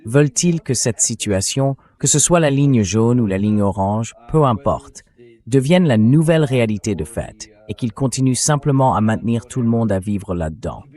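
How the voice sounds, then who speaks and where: A man's voice: mid-range voice, animated, normal speed, mellow. A man's synthetic dubbing voice, acting as host and putting questions in a political interview. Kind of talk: political interview, dubbed translation read by synthetic voice.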